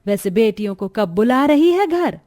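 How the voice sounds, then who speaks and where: A woman's voice: a little high, sing-song, moderate pace, dark tone, no echo, clean studio sound. A woman voicing a kindly, sensible grandmother neighbour in a cartoon story, good-naturedly chiding and advising. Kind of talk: acted Hindi cartoon story dialogue.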